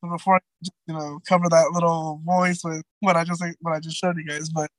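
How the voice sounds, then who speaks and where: A young man around twenty with a light, somewhat high voice, talking as a guest in a casual interview. He rambles in a friendly way, full of "like" and "um", and often restarts his sentences. The sound is close and dry. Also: level tone, brisk, dry recording, low-quality recording.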